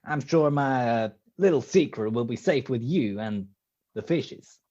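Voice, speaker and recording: A suave male radio-play villain, acted by a man, gloating and urbane. He drawls slowly and smugly with wide, theatrical swings of pitch. The sound is dull and lo-fi, like a video call. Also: animated, warm tone. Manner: smug, drawling, chuckling politeness. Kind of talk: old-time radio detective acting.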